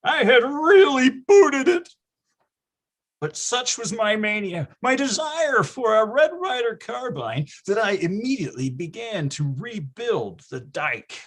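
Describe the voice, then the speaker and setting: A performer plays a nostalgic storyteller narrating a staged comedy. The delivery is grandiose and wry, with big melodramatic swings in pitch. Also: sing-song, a little slow, a bit bright. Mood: horrified, dramatic. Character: melodramatic, nostalgic storyteller recalling boyhood schemes. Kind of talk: scripted comic narration.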